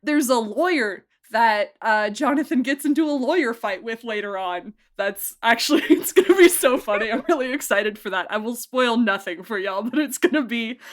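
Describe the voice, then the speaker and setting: A woman giving a casual interview as an audio drama director, talking in an animated, chatty way that wanders into asides. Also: fairly high pitch, moderate pace, few pauses. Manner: animated, chatty, digressive storytelling.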